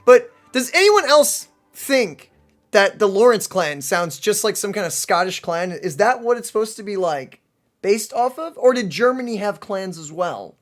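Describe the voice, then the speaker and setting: Animated, questioning gameplay co-host. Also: mid-range voice, normal speed. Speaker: let's play co-host. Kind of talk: casual gameplay commentary chat. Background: game music.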